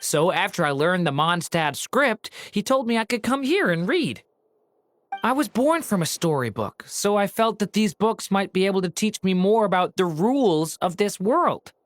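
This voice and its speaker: A woman voicing a naive, gentle youngster born from a storybook, earnest and hesitant, in an anime-style game. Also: mid-range voice, sing-song, moderate pace, close to an everyday voice. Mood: sheepish, explaining.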